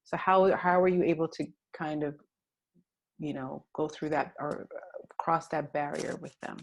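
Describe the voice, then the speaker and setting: Gravelly-voiced woman interviewer. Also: low-pitched, fairly even intonation, normal speed. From Sudan. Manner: thoughtful, with many fillers and "you know"s. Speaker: interviewer, filmmaker. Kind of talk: festival Q&A conversation.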